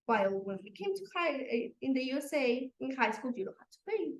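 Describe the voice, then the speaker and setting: A woman's voice: sits high, sing-song, fast talker. Hesitant young woman with a Mexican accent. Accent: Mexican Spanish. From Mexico City. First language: Spanish. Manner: hesitant, lots of fillers, simple phrasing. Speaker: guest, runner. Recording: echoey room.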